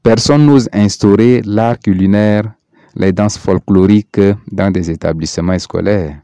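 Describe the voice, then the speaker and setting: A man from Chad reading a prepared statement in a measured, earnest way. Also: fairly low pitch, dark tone, no room sound, studio quality.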